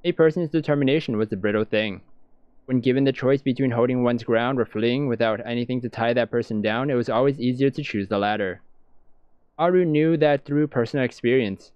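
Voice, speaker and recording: A man's voice: mid-range voice, fairly quick, clear, resonant tone, no echo. A man reading visual novel narration aloud, as in a let's-play. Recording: dull lo-fi sound, music behind.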